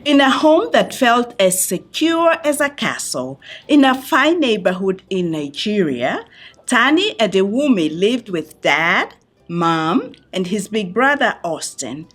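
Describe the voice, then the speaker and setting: Female children's read-aloud presenter: unhurried, very expressive pitch, simple explanatory delivery. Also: soft background music. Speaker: refugee center worker reading a picture book to children. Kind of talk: read-aloud and talk addressed to young children.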